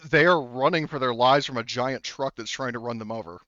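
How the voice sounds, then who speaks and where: A man narrating a tabletop game as game master in a casual, halting, profanity-sprinkled way, on a dull recording. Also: medium pitch, sharp tone, no echo. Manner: informal, profane, halting delivery.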